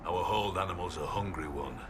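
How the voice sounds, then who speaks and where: A man's voice: low voice, fairly quick, close to an everyday voice. A man playing a wry, rustic old man in a fantasy game, with folksy, clipped talk. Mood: wry, gruff. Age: older. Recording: clear background ambient noise.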